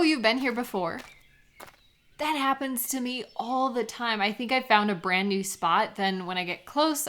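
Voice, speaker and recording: Woman playing a chatty, warm best friend. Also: medium pitch, close to an everyday voice. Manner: chatty, gently encouraging, hesitant little pauses. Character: warm, teasing female best friend out bird watching. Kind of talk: lighthearted intimate audio roleplay, one-sided conversation.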